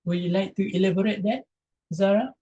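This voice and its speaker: A man from Malaysia answers audience questions over a video call, repeating each question aloud and hedging with fillers. His voice is mellow and a little husky. The call audio sounds dull and lo-fi. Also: high-pitched, brisk. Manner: reads out chat questions, answers with hesitant fillers. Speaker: presenter. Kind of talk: Q&A after an online talk.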